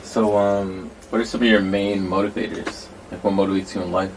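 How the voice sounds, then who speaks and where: A very deep-voiced man leads the interview, asking loose, slangy questions that ramble a bit. He is a little far from the microphone, with faint noise in the background. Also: flat delivery, fairly quick.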